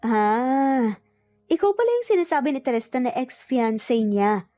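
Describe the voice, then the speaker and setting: A woman's voice: sits high, expressive, unhurried, mellow, faint music behind, pitched above the performer's own voice. A woman voicing a kind but firm young woman in a romance audio drama, speaking boldly and with emphasis. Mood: annoyed, bold. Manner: bold, emphatic, annoyed.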